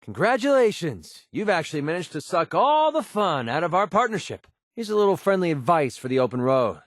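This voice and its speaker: A man's voice: fairly high pitch, big pitch swings, fairly quick, no room sound, clean studio sound. An actor playing a cynical young mercenary gunman in a video game, talking in a casual, sardonic, world-weary way. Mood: sarcastic, annoyed. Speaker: game companion character. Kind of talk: scripted video game dialogue.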